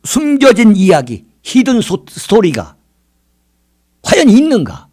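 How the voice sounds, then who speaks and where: A man in his sixties hosts a political commentary monologue. His voice sits high and swings widely in pitch. He speaks like an orator, leaning on dramatic emphasis and posing rhetorical questions. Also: huge pitch swings, unhurried, darkish tone, breathy edge. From South Korea. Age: 60s. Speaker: political commentary show host.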